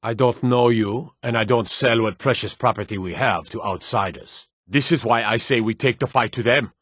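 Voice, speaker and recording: A man voicing a gruff, wary city guard in a fantasy video game, stern, clipped and world-weary. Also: medium pitch, moderate pace, a bit bright, dry recording, clean studio sound. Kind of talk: video game character dialogue.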